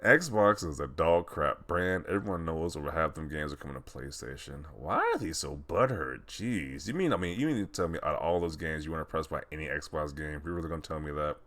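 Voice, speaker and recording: A man's voice: very deep voice, expressive, brisk, few pauses, a bit bright. A man doing casual reaction commentary in a mocking, incredulous way. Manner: mocking, incredulous, often chuckling. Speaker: video host commentator. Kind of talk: casual commentary, reacting to social media posts.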